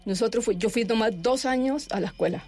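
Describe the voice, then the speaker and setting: A middle-aged woman gives her own account in an interview. She talks haltingly and restarts her sentences often. She sits a little too far from the microphone, so her voice comes through distant. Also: a little high, darkish tone. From Colombia. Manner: halting, with many restarts and fillers. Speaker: interview guest. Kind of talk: personal testimony in an interview. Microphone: sitting too far from the microphone.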